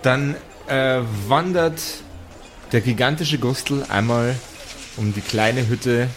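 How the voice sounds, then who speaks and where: A man's voice: medium pitch, slow pace, slight background noise. A man acting as game master, narrating an improvised tabletop role-playing story.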